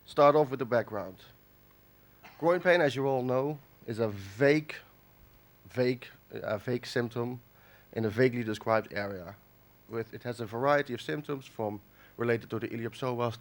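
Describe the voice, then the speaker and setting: A man from the Netherlands gives a conference lecture while nursing a cold. His delivery is halting, full of fillers and restarts, at a middling pitch. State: has a cold. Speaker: conference speaker. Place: conference hall.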